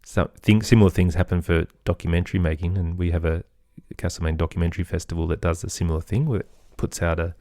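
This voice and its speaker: A man with an Australian accent, talking as an interview guest in an agreeable, conversational way with softened phrasing. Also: deep voice, steady tone, quick pace, few pauses, no echo, clean studio sound. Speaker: podcast guest, musician and composer.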